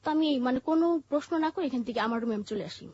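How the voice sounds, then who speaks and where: A woman with a Bangladeshi accent telling her life story in an interview, haltingly, with pauses and repeated phrases. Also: high-pitched, expressive, darkish tone. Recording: muffled, dull lo-fi audio.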